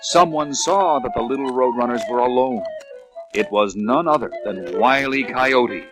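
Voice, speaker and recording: A man's voice: medium pitch, normal speed, music in the background. A man narrating a children's read-along story in a scripted storytelling style.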